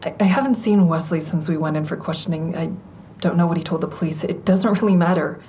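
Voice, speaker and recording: A woman's voice: low voice, fast talker, warm tone, a little hiss or hum, natural voice. An actress in an audio drama playing a guarded, self-doubting, caring woman, reflective and hesitant in dialogue and first-person narration. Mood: guarded, uneasy.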